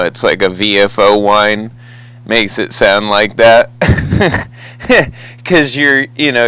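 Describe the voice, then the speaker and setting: A man's voice: fairly low pitch, pushed and bright, clear, resonant tone. An American man chatting casually over the radio as a hobbyist, with an easygoing, folksy manner. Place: two-way CB radio conversation.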